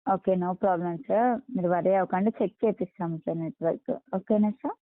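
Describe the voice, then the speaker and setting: A woman handles a customer support call at a brisk, quick pace. She is polite, apologetic and reassuring, addressing the caller deferentially. The sound is a narrow, tinny telephone recording, close and dry. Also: lowish voice, no room sound. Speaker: customer care agent. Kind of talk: phone customer support, polite scripted phrases. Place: on a phone line.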